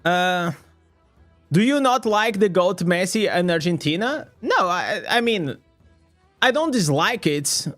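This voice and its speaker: Portuguese streamer, young guy, high voice, rambly and sweary, faint music behind. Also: animated, slow pace, fairly bright tone. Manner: rambling, swears often, many restarts. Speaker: streamer reading chat. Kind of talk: casual live stream chat.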